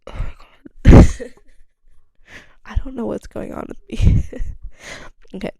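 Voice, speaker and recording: A young woman chats on her own podcast, rambling, giggling and swearing freely. Her voice is gravelly and mellow. The sound is dry and close. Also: steady tone, slow, no room sound. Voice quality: gravelly low-toned voice. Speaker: podcast host. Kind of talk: casual solo chat.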